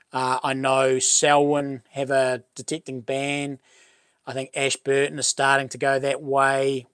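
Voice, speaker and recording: A middle-aged man with a New Zealand accent and a boomy voice, hosting a relaxed livestream chat, rambling with many ums and restarts as he reads out viewer comments. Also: clear, resonant tone, dry recording, clean studio sound. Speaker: livestream host. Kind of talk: casual live chat reading comments.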